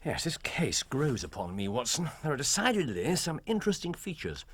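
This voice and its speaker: A man playing a keen, courteous gentleman detective in a period drama, with an English accent and precise, formal, questioning delivery. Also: a little high, big pitch swings, a little fast, a little hiss or hum. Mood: absorbed, deliberate.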